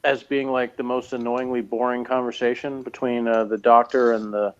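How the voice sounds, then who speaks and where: A man's voice: medium pitch, level tone, moderate pace, smooth, dull audio. A tired man chatting casually, rambling with lots of fillers and restarts. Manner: rambling, hesitant, many fillers. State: tired, yawning. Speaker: podcast co-host reviewing a TV episode. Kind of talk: casual chat.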